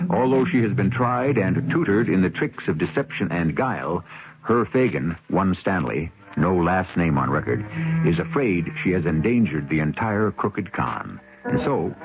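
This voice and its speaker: A man hosting and narrating a radio mystery in theatrical, wry, literary phrasing. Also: low voice. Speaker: host and narrator. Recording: muffled vintage radio broadcast. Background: music.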